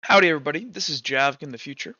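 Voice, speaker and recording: A man narrating a tabletop roleplaying game as game master, improvising and often halting to search for words. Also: a little high, pushed and bright, dry recording, dull audio.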